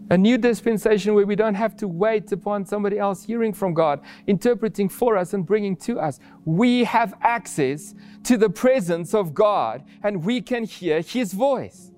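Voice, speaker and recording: A man whose first language is Afrikaans, preaching an earnest sermon with restarts and soft laughs. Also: sits high.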